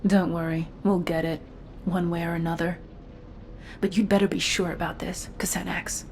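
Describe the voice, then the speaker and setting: A woman's voice: fairly low pitch, level tone, normal speed, a little mellow, lightly acted. Actress as a firm, hardened woman soldier, noisy ambience. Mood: grimly resolved. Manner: firm, businesslike, determined. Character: hardened vengeful female soldier, determined, no-nonsense. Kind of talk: video game voice acting.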